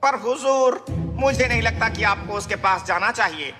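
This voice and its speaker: A man voicing a small, brave and crafty rabbit who speaks humbly and politely in an animated fable. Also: sits high, moderate pace, natural voice. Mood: deferential, sly. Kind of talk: animated children's fable acting. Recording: long reverb, music behind.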